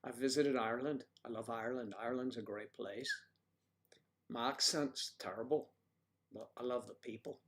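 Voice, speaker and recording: A man's voice: mid-range voice, fairly even intonation, moderate pace, a bit bright, a little hiss or hum. A man hosting a casual livestream, reading viewers' comments aloud and joking with them by name in a rambling, chatty way. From United States. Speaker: livestream host reading chat.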